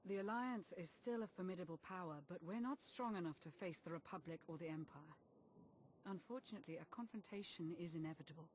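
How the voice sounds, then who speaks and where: An actress playing a loyal adviser in a video game, speaking in a calm, formal, deliberate way. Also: female voice, fairly low pitch, slight background noise, close to an everyday voice. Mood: composed. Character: composed, loyal female adviser to a commander. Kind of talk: video game cutscene acting.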